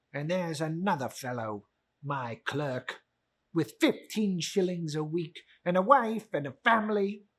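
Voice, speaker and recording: Performer doing a bitter old miser, slow and scornful. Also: medium pitch, fairly bright tone, voice pushed down. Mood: muttering, disgusted. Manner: scornful, emphatic, grumbling. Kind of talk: dramatic reading of a classic story.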